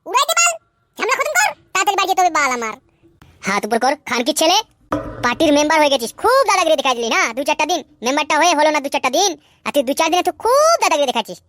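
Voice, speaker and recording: A man's voice: very high-pitched, bright, forceful tone, no echo, exaggerated voice. A man voicing a menacing, taunting hired thug in a comedy cartoon. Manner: taunting, coarse, threatening. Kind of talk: Bengali comedy cartoon dubbing.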